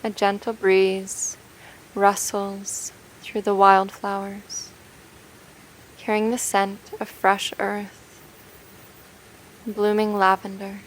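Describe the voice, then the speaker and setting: A woman narrating a guided meditation in a gentle, soothing, unhurried way, leaving long pauses between phrases. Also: mid-range voice, monotone, slight background noise, poor audio.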